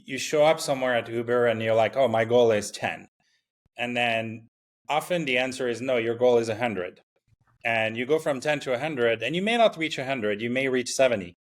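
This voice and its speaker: A founder from Morocco talking casually in an interview, full of fillers and restarts. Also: male voice, lowish voice. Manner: halting, many fillers and restarts. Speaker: startup founder, guest.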